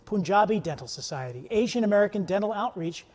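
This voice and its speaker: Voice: mid-range voice, animated, fast, darkish tone, long reverb tail. An interview host leading a promotional chat, repeating words and reading out contact details.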